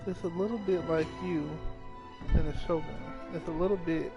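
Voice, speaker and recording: A man's voice: on the high side, steady tone, normal speed, highs cut off, loud music behind the voice. A man doing casual, offhand let's-play commentary, at times reading dialogue aloud. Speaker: gaming video host.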